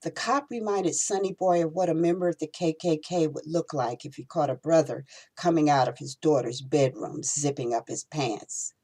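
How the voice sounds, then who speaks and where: A woman reading her own novel aloud in expressive storytelling narration. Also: deep voice, normal speed, hardly any pauses, poor audio.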